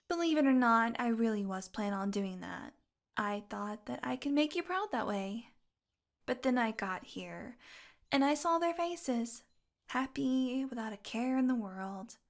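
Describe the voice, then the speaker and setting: A woman playing a possessive fantasy healer in an audio roleplay, tender and secretly bloodthirsty, speaking softly and deliberately. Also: fairly high pitch. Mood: confessing, cold. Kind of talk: intimate audio roleplay monologue.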